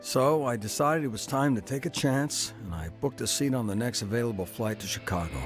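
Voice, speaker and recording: An earnest middle-aged man narrates his story in a steady, measured way. A music bed plays clearly underneath. His voice sits at an ordinary pitch. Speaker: narrator and lead. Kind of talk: first-person dramatized narration.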